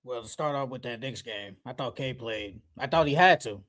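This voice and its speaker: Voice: medium pitch, moderate pace, fairly bright tone. A sports podcast co-host in a casual basketball debate, speaking in an emphatic, opinionated, slangy way.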